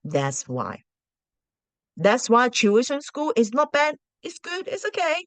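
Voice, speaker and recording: A woman's voice: a little high, wildly swooping pitch, slow pace, no echo. Chatty woman teacher, Cantonese native. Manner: chatty, frequent restarts and rhetorical questions. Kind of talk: casual advice talk to parents.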